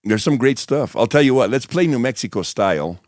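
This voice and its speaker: A man hosting a live radio show and interviewing a guest, his voice hoarse and raspy, talking in a relaxed, rambling way with many fillers. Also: medium pitch, brisk, dry recording, clean studio sound. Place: studio, streamed live on camera.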